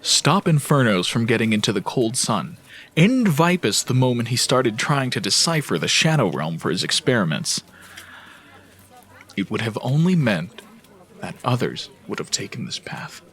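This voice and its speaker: A man acting as a wise, devout seer in a fantasy audio drama, solemn, measured and reassuring. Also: mid-range voice, big pitch swings, a bit bright, slightly rough, full character voice.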